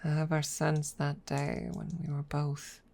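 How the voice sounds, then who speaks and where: A woman voicing a haughty, centuries-old dragon rival who is secretly smitten, speaking haltingly in a close-miked ASMR roleplay. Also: deep voice, monotone, gravelly, darkish tone, a little hiss or hum, full character voice. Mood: haughty, accusing. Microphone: close microphone.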